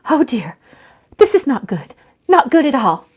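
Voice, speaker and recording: A woman's voice: high-pitched, very animated, a little slow, voice pushed up. A woman voicing a dry, cautious, easily alarmed female ghost in a storybook. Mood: fretful. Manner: dry, fretful.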